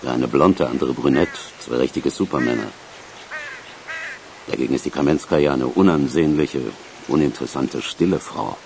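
Radio crime drama: provincial detective, deep bass voice, slight background noise. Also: male voice, moderate pace, gravelly, darkish tone. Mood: speculative.

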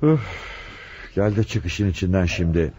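A man's voice: low voice, expressive, moderate pace, dark tone, a little hiss or hum. A man acting an impatient, commanding police chief inspector in a radio drama, urgently giving short, repeated orders. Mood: exasperated, commanding. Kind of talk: Turkish radio theatre acting. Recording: dull, lo-fi radio recording.

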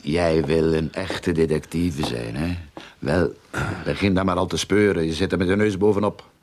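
An actor playing a scheming, self-important private detective in a radio drama, with a pompous, theatrical manner. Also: male voice, deep voice, moderate pace, darkish tone, a little hiss or hum, close to an everyday voice. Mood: smug, conspiratorial.

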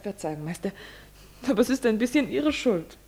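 An actress playing a young, deferential yet cheeky secretary in a German radio play. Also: female voice, fairly high pitch, very animated, fairly quick, warm tone. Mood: apologetic, playful.

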